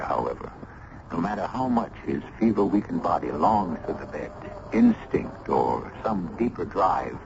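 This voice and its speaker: A man hosting and narrating an old-time radio drama in a measured, literary, ominous manner. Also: sits low, gravelly. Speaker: host and narrator. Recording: muffled, noisy vintage radio recording.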